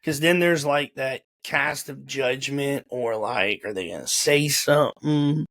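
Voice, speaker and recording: A man's voice: mid-range voice, a little slow, a bit bright. A young man hosting a casual interview, informal and repeating words as he feels his way through questions. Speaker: host. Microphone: video call or home camera.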